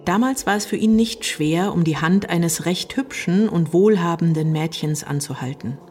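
A woman as a village chorus narrator in a radio play. Her fairly low voice recites literary phrases deliberately, with faint music underneath. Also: darkish tone, natural voice. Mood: narrating.

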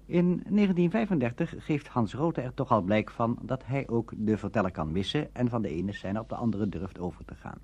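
A man presenting a documentary on radio drama as scripted narration, formal and expository, with a husky voice. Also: medium pitch, lively intonation, moderate pace, hardly any pauses, darkish tone, dull audio.

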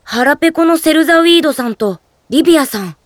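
High-voiced earnest young boy. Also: lively intonation, fast talker, dry recording, clean studio sound. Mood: thoughtful. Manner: polite, earnest. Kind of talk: Japanese anime drama CD acting.

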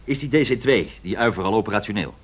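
An actor playing a brusque, commanding airline director in a radio drama, curt, authoritative and probing. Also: male voice, lowish voice, animated, fast, husky. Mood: brisk, commanding.

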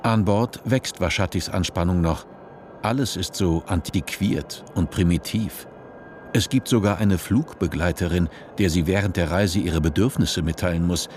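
An actor as the narrator of a dystopian radio play, reading scripted prose in a measured, deliberate way. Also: male voice, low-pitched, a little mellow, lightly acted. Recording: noisy vehicle-like ambience behind.

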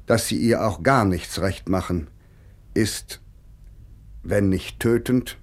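Resigned, bitter writer in a radio feature: lowish voice, measured, earnest, literary. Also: male voice. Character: weary, lonely male man of letters, bitter, resigned. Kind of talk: dramatised letter reading for radio.

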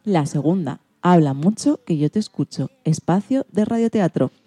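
Spanish woman presenting, mellow lowish voice. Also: lively intonation, normal speed, dry recording. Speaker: host. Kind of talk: scripted programme presentation.

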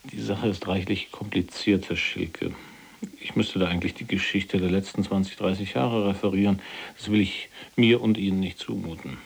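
An actor in a radio drama playing an imprisoned middle-aged Polish resistance officer, educated and sober, recounting in a measured, precise way with a husky, gravelly voice. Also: male voice, low-pitched, steady tone, full character voice. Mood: sober, reflective.

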